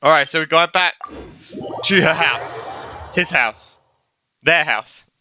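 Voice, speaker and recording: A man's voice: on the high side, takes it slowly, sharp tone. A man giving casual, informal let's-play commentary, reacting and muttering as he plays a video game. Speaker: streamer playing a video game.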